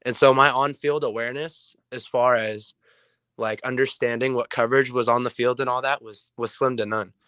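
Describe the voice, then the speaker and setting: A hoarse young man with a raspy voice, a player answering interview questions in a rambling way with many ums. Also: mid-range voice, steady tone, normal speed, a bit bright, dry recording. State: hoarse from illness. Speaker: player guest.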